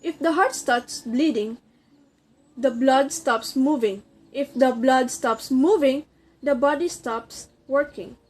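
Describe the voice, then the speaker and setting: Woman playing a high-voiced, earnest circulatory system. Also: slow pace, darkish tone. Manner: demonstrative, instructing, formal address. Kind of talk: amateur reader's theater, scripted recitation.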